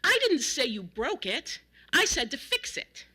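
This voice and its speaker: A woman's voice: on the high side, big pitch swings, normal speed, sharp tone, heavily acted. A woman voicing a demanding, exasperated old male neighbor, blunt and emphatic, in a spoken anecdote. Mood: exasperated shouting. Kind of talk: acted quotes in a spoken anecdote.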